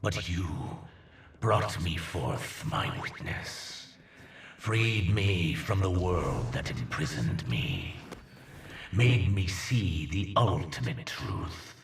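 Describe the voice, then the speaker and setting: A man playing a proud, menacing alien conqueror in a video game, speaking in a grandiose, theatrical, deliberate way with hushed emphasis. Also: deep voice, big pitch swings, gravelly, echoey room, recorded from a distance, a little hiss or hum, heavily acted. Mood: reverent, fervent. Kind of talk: dramatic video game villain monologue.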